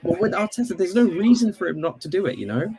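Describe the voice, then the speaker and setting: A man's voice: high-pitched, very animated, fast, dark tone. Male football fan chatting casually. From Bangladesh. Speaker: football fan calling in to a podcast. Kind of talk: casual sports chat.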